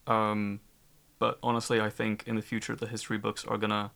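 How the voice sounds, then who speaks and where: A man's voice: on the low side, completely flat delivery, fairly quick, creaky, a bit bright. A man from Ukraine speaking as a guest on a discussion panel, candid and emphatic, often restarting his sentences.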